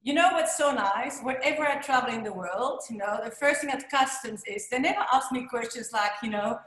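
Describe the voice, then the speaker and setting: Belgian-born woman, lively, in a roomy office. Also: a little high, fairly quick. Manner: animated, talks with her hands, frequent "you know". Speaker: interview guest. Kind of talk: casual video-call interview. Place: bright office indoors. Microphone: laptop webcam mic on video call.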